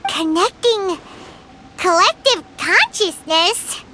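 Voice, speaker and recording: A woman voicing a small, excitable childlike game companion with a squeaky voice. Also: slow, a little hiss or hum, full character voice. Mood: baffled, indignant. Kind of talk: video game voice acting.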